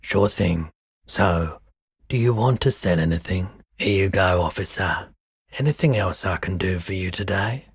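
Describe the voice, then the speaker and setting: A world-weary pawnshop owner from a video game, male and very low in pitch. He takes his time over each phrase, speaking slowly and softly with a wistful, laid-back air. The voice is husky and sits close and dry. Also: no echo, heavily acted. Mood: businesslike, relaxed. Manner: unhurried, low-key, deliberate. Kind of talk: video game character dialogue.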